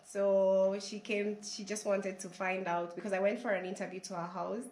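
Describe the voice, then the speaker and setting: Hoarse woman in her forties from Kenya, earnest and halting, in an echoey room. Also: medium pitch, flat delivery, a little mellow, a little hiss or hum. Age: 40s. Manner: hesitant, restarts, earnest. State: hoarse from a flu. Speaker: channel host, interviewee. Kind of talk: casual interview. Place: her family home in a village.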